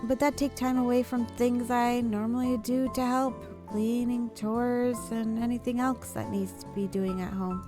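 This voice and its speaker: Young female lead, fantasy visual novel, read by a woman: sincere, polite, lightly high voice, faint music bed. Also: fairly even intonation, normal speed, few pauses, close to an everyday voice. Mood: earnest, hesitant. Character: earnest dutiful young heroine of a fantasy story.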